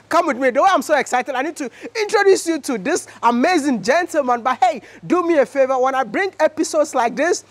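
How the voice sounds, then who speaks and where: A young man from Ghana, a vlogger hosting an interview, talking in an excitable, playful way with a Ghanaian English accent, on a lapel mic. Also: extremely high pitch. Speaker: vlogger host. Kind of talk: energetic travel vlog interview. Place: industrial yard and factory, indoors and out.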